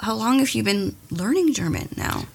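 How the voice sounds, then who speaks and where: A woman's voice: a little high, expressive, normal speed, creaky, husky. A woman interviewing a young writer, asking encouraging questions and voicing her admiration.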